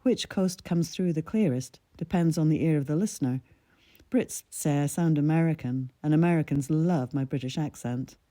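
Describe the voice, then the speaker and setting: A woman in her sixties reads her own essay aloud in a measured, reflective storytelling voice. Her mid-Atlantic accent carries a light Scottish lilt, and her tone is deeply mellow and dark. The sound is dry and close, with no room around it. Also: no echo. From Scotland. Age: 60s. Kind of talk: scripted personal essay reading.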